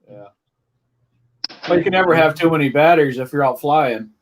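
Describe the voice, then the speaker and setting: A man's voice: fairly high pitch, quick pace, slight background noise. A man chatting as a guest on a livestream panel, teasing the host and laughing easily. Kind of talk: casual chat.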